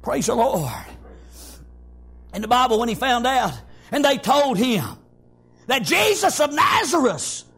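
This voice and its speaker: Male revivalist preacher, Southern US accent, very high-pitched, fervent and loud, peppering phrases with exclamations of praise. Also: big pitch swings, measured pace, long pauses, husky. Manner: repetitive stop-and-go phrasing with frequent interjections. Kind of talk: impassioned revivalist sermon.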